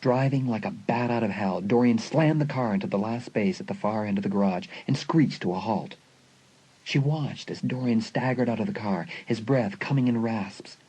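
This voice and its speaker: A man's voice: on the low side, normal speed, mellow, husky, rough recording. A man reading suspenseful scripted narration for a dramatised audiobook. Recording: faint music behind the narration.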